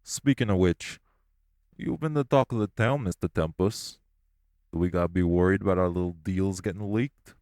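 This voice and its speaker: A man's voice: deep voice, moderate pace, a little mellow, no room sound, studio quality. An actor playing a crude, hot-tempered male gangster boss who runs clubs, blunt and vulgar. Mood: taunting. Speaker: club-owning gangster. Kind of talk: audio roleplay acting.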